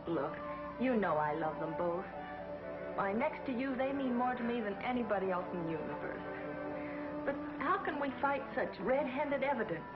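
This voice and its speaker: An actress playing an earnest, worried young space heroine in a 1950s TV series. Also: female voice, fairly high pitch, lively intonation, moderate pace, natural voice. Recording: dull 1950s TV soundtrack with loud music.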